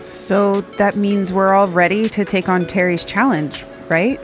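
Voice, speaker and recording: Woman acting a bold, mid-pitched young friend. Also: steady tone, unhurried, over music, close to an everyday voice. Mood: eager. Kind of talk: audio drama acting.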